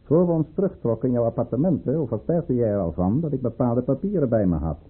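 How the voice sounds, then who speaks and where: A man's voice: medium pitch, animated, fast, slightly rough. A man acting in a Dutch radio drama as a scheming governor at the tsarist court, speaking in a formal, coldly commanding way. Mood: stern, controlled. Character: scheming, menacing male governor and aristocratic lover at tsarist court. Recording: muffled, tinny old radio play recording.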